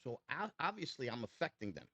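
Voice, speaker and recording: A man's voice: mid-range voice, sing-song, fast, creaky, pushed and bright, breathy edge, no room sound. Blunt, swearing man in his sixties. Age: 60s. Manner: blunt, streetwise, swears freely; defiant, preachy toward critics. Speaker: custom chopper owner and builder. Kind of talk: casual interview chat.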